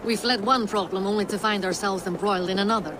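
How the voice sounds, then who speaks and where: A woman's voice: medium pitch, fairly even intonation, fast, background noise, close to an everyday voice. An actress playing an earnest, loyal, worried Norse woman in a video game cutscene.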